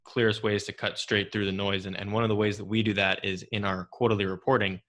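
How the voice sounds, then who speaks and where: A man with a fairly low but bright, forceful voice hosts a business interview. He talks conversationally and measures out his questions in short phrases with pauses. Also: little pitch movement. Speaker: interviewer, podcast host.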